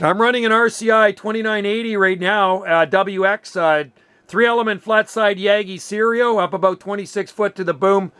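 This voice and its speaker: A man's voice: high-pitched, steady tone, moderate pace, pushed and bright, smooth, dry recording. A man of sixty-one chatting casually over two-way radio in a friendly, slangy way. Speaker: amateur radio operator. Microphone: desk radio microphone, close.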